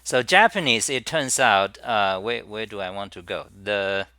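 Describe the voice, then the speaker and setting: A man's voice: fairly low pitch, unhurried, bright, forceful tone, clear, resonant tone, no echo. A middle-aged man, hosting a casual live stream and rambling through his topics with many restarts and fillers. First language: Chinese. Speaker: livestream host.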